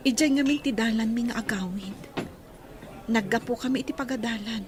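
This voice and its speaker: A woman's voice: a little high, fairly quick, natural voice. A woman playing an earnest young daughter who recounts events in an audio drama. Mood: reflective. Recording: clear background noise and ambience.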